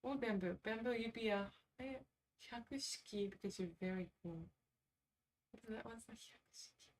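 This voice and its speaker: A woman's voice: a little high, moderate pace, recorded from a distance, a little hiss or hum. A woman hosting a casual livestream, chatting informally and reacting off the cuff with sarcastic asides. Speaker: livestream host. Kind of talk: casual livestream chat, reading quizzes aloud.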